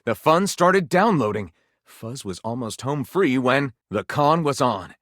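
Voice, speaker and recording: Theatrical pulp detective narrator for a game, tongue in cheek, super swoopy dramatic delivery, very dry sound. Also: male voice, mid-range voice, lively intonation, measured pace, fairly bright tone, no room sound. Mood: arch, dramatic. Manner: melodramatic, arch, tongue-in-cheek. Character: theatrical storyteller narrating pulpy detective adventures with mock gravity. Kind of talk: dramatic scripted story narration.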